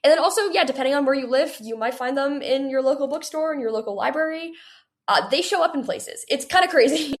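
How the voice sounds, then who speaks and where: A woman's voice: high-pitched, expressive, fast talker. A woman of eighteen answering interview questions as a guest author in a chatty, conversational way, often saying "like". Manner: chatty, heavy use of "like". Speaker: guest, young adult author.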